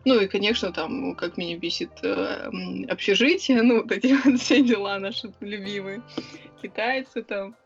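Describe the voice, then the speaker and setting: A woman's voice: medium pitch, creaky, dull audio, soft background music. Chatty young woman, lots of hesitations. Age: young adult. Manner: chatty and quick, with many hesitation sounds. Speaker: interview guest, writer and club organizer. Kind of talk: casual conversational interview.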